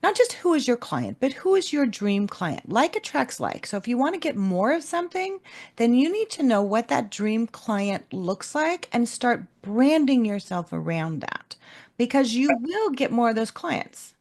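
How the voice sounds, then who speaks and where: Calm lady coach walking people thru a webinar, bit of vocal fry, steady explaining. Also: on the high side, a little slow. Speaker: webinar co-host, leadership coach. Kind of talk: instructional webinar talk.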